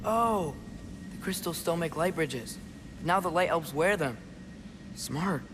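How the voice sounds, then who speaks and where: An actor playing an eager, curious young son with quick remarks in a video game. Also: male voice, a little high, lively intonation, noisy background. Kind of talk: video game cutscene dialogue. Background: game music.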